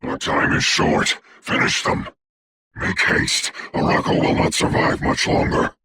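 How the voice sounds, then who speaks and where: An actor voicing a commanding, haughty dark sorcerer for a video game, speaking in a formal, grandiose, deliberate way with a gravelly, breathy voice. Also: male voice, a little hiss or hum. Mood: solemn, commanding. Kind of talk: theatrical hero game voice lines.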